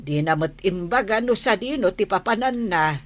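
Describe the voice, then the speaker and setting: A woman's voice: mid-range voice, expressive, moderate pace, lightly acted. A woman acting a meek, resigned old village wife and mother in an audio drama, with an Ilocano accent. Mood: resigned, placating. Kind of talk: amateur Ilocano radio-style comedy drama acting.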